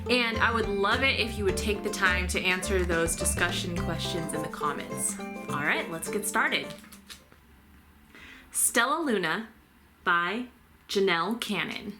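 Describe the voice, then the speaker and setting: A woman reading a children's storybook aloud as a teacher, with deliberate, dramatic delivery. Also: mid-range voice, animated, over music. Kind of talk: expressive children's storybook narration.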